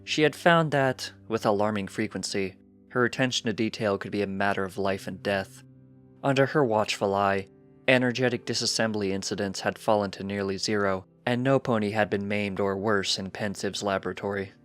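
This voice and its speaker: Guy reading a story, fairly low voice, scripted narration, music behind. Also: steady tone, moderate pace, fairly bright tone. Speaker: fan fiction narrator. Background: background music.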